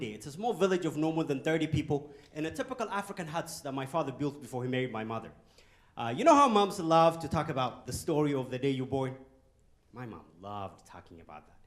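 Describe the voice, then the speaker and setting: A man from Ethiopia, giving a joking, animated motivational talk to a youth audience in a conference hall and throwing questions at the crowd. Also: on the high side. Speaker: guest speaker.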